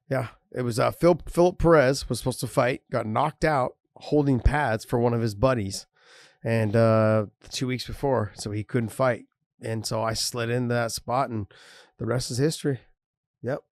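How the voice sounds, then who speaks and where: A man in his forties, a former fighter co-hosting a casual sports podcast, laid-back and quick to laugh. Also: medium pitch, dry recording, studio quality.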